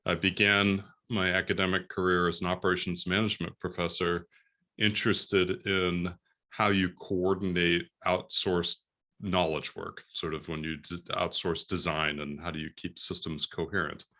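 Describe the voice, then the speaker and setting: Man, professor, video call panelist, very low voice, bright, friendly. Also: flat delivery, moderate pace.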